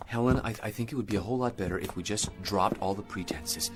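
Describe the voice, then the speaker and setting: An actor playing a shaken American astronaut husband in a radio drama, speaking earnestly and haltingly and insisting he is rational. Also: on the low side, over loud music, close to an everyday voice. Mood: troubled, insistent. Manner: halting, earnest delivery with repeated words.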